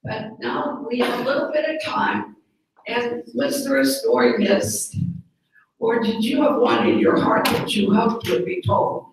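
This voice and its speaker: Woman host, religious sister, high lively voice, gravelly edge, echoey room, far mic. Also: measured pace, a little hiss or hum. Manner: warm, inviting, chatty with filler words. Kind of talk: hosting a gathering.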